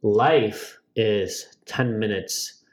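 Young man, podcast co-host, casual chat, rambling, excitable, fairly low voice. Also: drawn-out words. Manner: rambling, excitable, many restarts.

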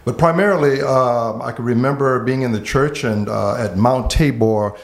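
A man who is a pastor from Miami, earnestly telling his life story in conversation, pausing often on fillers and repeated words. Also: mid-range voice. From Miami, Florida. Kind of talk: reflective personal storytelling in conversation.

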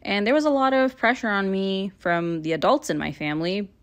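A woman in her twenties from Canada, hosting her own podcast and talking about her life in a friendly, earnest way, with fillers and the odd soft laugh. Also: medium pitch, brisk. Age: 20s. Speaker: podcast host. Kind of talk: casual solo podcast talk.

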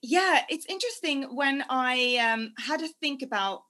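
A young woman chatting as a podcast guest, warm and talkative, laughing easily. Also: high voice, expressive, measured pace. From Norway. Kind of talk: casual interview chat.

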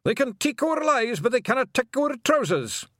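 A man's voice: very high-pitched, big pitch swings, normal speed, a bit bright, no room sound, clean studio sound, voice pushed up, exaggerated voice. A man voicing wild little Scottish pixie warriors roaring war cries in an audiobook. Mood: battle fury. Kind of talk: audiobook character acting.

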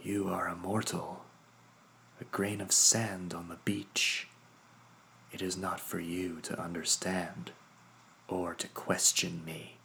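A man's voice: sits low, flat delivery, takes it slowly, gravelly, long pauses, pushed and bright, a little hiss or hum, heavily acted, low-quality recording. A man voicing a cold, haughty, antlered spirit woman who speaks in clipped remarks. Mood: disdainful.

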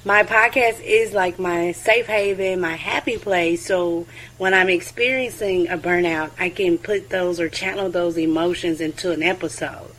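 A woman speaking as a podcast guest, friendly and relaxed, with casual fillers. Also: fairly low pitch, normal speed, hardly any pauses, poor audio. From United States. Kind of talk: casual interview chat.

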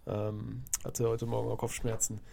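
A man's voice: medium pitch, monotone, normal speed, creaky, mellow. A man hosting a casual solo podcast, sounding stuffed up from a cold as he rambles through a football recap and corrects himself often. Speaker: podcast host.

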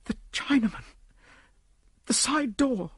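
A man's voice: very high-pitched, expressive, slow, breathy edge, no echo, clean studio sound, pitched above the performer's own voice, full character voice. A man voicing a fragile, genteel English lady who is pained and shaken, in a dramatic audiobook reading. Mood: pained, frightened.

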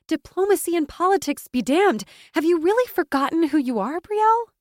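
A woman's voice: mid-range voice, moderate pace, no room sound, clean studio sound, lightly acted. A woman voicing a loyal, stubborn young woman in a fantasy audiobook, insistent and impassioned. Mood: fierce, rousing. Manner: insistent, impassioned, gaining momentum. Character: fierce, stubborn, loyal young woman, pleading best friend.

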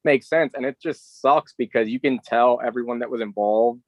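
A man's voice: medium pitch, moderate pace, dry recording, dull audio. A man co-hosting a podcast, chatting casually and giving his opinions. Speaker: podcast co-host.

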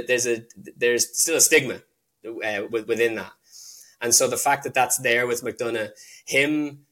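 A young man talking casually as an interview guest, halting with frequent restarts and fillers. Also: mid-range voice, fairly bright tone. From Ireland. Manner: hesitant, many restarts and fillers.

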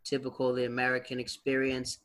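A man interviewing a guest in a relaxed way, asking probing conversational questions. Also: medium pitch, a little fast. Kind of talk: casual interview.

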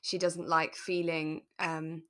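A woman's voice: sits low, little pitch movement, takes it slowly, a bit bright. A woman co-hosting a podcast, chatting casually and sympathetically about a radio drama in a reflective way. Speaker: podcast co-host.

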